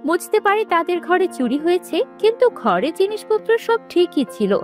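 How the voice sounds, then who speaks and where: A woman's voice: very high voice, very animated, brisk. A woman reading scripted narration for a fairy-tale story. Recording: music behind the voice.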